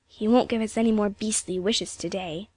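A woman voicing an anxious, excitable little British girl, childlike and plain-spoken, in a storybook reading. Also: high-pitched, normal speed, no room sound, pitched above the performer's own voice. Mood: flat, fed up.

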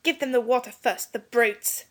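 A woman's voice: a little high, normal speed, pitched above the performer's own voice, natural voice. A woman voicing a bold, stubborn young boy with a brash, commanding manner in a children's storybook. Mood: defiant.

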